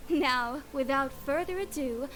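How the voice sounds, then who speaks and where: A woman's voice: high voice, a little slow, a bit bright, faint music behind. A woman playing an enthusiastic, formal shop announcer promoting a product in a video game, with faint noise behind. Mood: welcoming. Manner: formal, gushing presenter.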